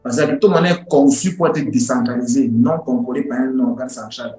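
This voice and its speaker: A man's voice: mid-range voice, brisk, dark tone. A man, an English speaker, giving an informal lecture in an emphatic, repetitive way with rhetorical questions. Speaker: online course instructor. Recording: roomy echo with music behind.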